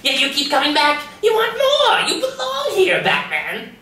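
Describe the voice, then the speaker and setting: A man's voice: very high voice, wildly swooping pitch, measured pace, sharp tone, a little hiss or hum, heavily acted. A man voicing a manic, taunting male villain clown at a table reading, breaking into sudden shrieking laughs. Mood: cackling. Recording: echoey room from a distance.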